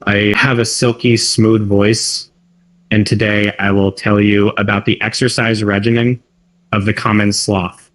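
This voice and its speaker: Synthetic husky upbeat male youtuber. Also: fairly low pitch, little pitch movement, unhurried, a bit bright, rough recording. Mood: smooth. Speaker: generated demo voice. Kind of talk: youtuber channel intro script.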